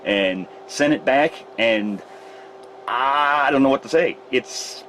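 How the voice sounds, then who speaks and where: A man's voice: medium pitch, expressive, slow pace, a bit bright, slight background noise, rough recording. A man demonstrating radio repair in a casual, rambling way, often correcting himself mid-sentence. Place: repair shop workbench.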